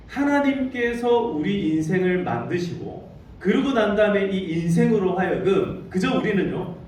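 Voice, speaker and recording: A fairly high-voiced man from Daegu preaches to his congregation, telling a story and asking them questions as he goes. His voice rings through a strongly echoing church hall, picked up from a distance with a faint hiss underneath. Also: darkish tone. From Daegu, South Korea. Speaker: pastor. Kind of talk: sermon. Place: church sanctuary. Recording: echoey sanctuary, distant mic.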